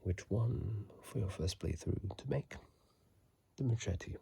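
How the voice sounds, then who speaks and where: Man, soft ASMR whisper, dark mellow tone, very slow and unhurried, close dry phone recording, casual gaming commentary. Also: fairly low pitch, animated, vocal fry, husky, dry recording. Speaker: ASMR video creator. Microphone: phone mic, close up.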